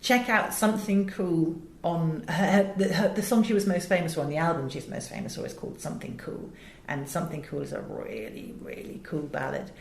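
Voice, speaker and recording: An English woman gives an interview in a fairly low voice. She is expressive and conversational, often interrupting herself mid-thought. The room around her is noticeably echoey. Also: a little fast, vocal fry, hardly any pauses, slight background noise. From England. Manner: chatty, expressive, self-interrupting. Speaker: interview guest, voice director.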